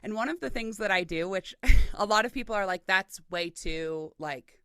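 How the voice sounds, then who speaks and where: A woman's voice: mid-range voice, lively intonation, moderate pace, sharp tone, clear, resonant tone, no room sound. Lady hosting a podcast, dry close sound, chatty and super affirming. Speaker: podcast host. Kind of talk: casual interview conversation.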